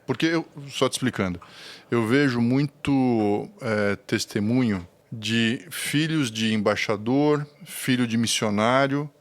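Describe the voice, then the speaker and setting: Male interviewer, Brazilian accent, medium pitch, casual podcast conversation style. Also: takes it slowly.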